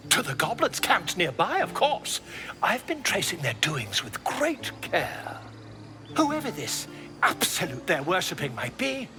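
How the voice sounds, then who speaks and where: An actor playing a flamboyant, self-important bard in a video game, with a grandiloquent theatrical manner. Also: male voice, sits high. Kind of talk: video game dramatic acting. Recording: music behind the voice. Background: music.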